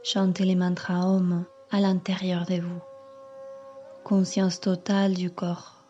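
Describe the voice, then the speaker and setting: A woman yoga teacher calmly leading a guided meditation in measured, instructing phrases. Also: fairly low pitch, lo-fi sound, a little hiss or hum. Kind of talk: slow guided meditation narration.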